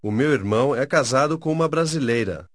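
Male drill speaker, careful slow enunciation, varied pitch, dry studio. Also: expressive, no echo. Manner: slow, careful, syllable-by-syllable repetition. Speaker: native-speaker model in a language course. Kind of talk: scripted phrase drills.

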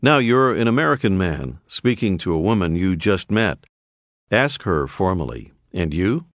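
A man narrating a scripted language course, clearly prompting the listener to repeat phrases. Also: low voice, big pitch swings, measured pace, no echo. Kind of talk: scripted audio lesson instructions. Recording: dry studio voice-over.

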